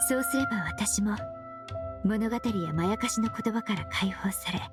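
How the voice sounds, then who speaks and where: A woman playing a courteous, earnest young perfumer in an anime game, speaking formally and explaining in a measured way. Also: mid-range voice, music in the background, natural voice. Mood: serious. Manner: polite formal speech, measured explanatory delivery. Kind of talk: Japanese anime game voice acting.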